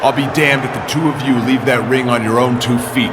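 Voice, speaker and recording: Game cutscene role: man playing smug, domineering general manager of a wrestling show, vindictive and taunting, mid-pitched. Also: steady tone, brisk, background noise, lightly acted. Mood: angry.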